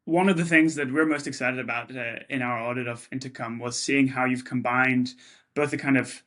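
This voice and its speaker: A man's voice: mid-range voice, fairly even intonation, moderate pace, a bit bright. A man who runs a company, interviewing a guest and adding his own commentary between questions. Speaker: interviewer, company chief executive.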